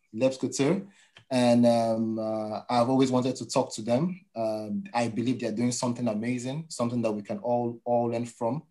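A man's voice: medium pitch, dark tone. Male interview host, mid-range voice, casual and conversational.

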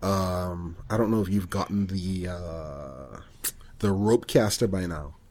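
A man with a fairly low voice talks casually as a podcast co-host about video games. His delivery is informal and a bit halting as he explains how he does things. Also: darkish tone.

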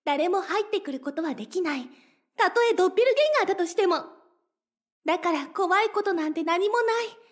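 Very high-voiced obsessive lovestruck girl. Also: animated, brisk. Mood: coaxing, possessive. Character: obsessively lovestruck young woman, possessive, sweetly menacing captor. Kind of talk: Japanese anime-style voice drama acting.

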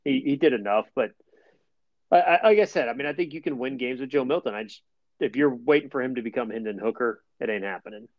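A man's voice: mid-range voice, fast, no room sound, lo-fi sound. A man hosting a sports podcast, easygoing and wry as he runs through scores and stats. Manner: easygoing, wry, stat-heavy. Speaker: podcast host. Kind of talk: casual sports chat.

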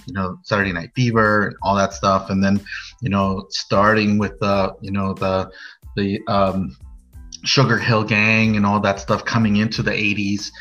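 A man's voice: lowish voice, fairly bright tone. An American man in his late forties telling his personal story as a podcast guest in a casual, rambling way, full of "you know". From Norwalk, California, USA. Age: late 40s. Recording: muffled lo-fi audio over music.

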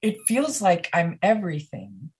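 A woman guest on a podcast, talking slowly, emphatically and intimately, with pauses. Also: lowish voice, huge pitch swings, darkish tone, slightly rough. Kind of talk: conversational podcast interview.

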